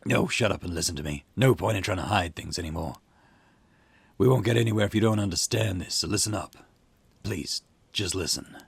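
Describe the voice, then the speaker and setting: Man playing a veteran cop, gruff and weary, very low voice, gravelly, curt, visual novel dialogue, music underneath. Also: fairly quick, no room sound, full character voice. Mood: stern, impatient. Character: gruff, impatient veteran police detective, older man. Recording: music behind the voice.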